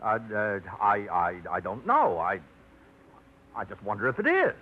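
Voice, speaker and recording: A man's voice: on the low side, wildly swooping pitch, measured pace. An actor playing a hesitant, fussy man who stammers, in a 1950s radio drama. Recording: tinny, hissy 1950s radio broadcast.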